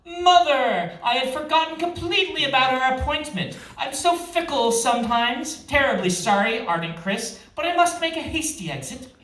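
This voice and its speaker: A man's voice: very high-pitched, animated, moderate pace, hardly any pauses, a bit bright, voice pushed up. A man voicing a flighty, haughty young noblewoman who gushes and complains dramatically in a fantasy novel reading. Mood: gushing, fake apologetic. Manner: gushing, dramatic, petulant. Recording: roomy live reading, far from mic.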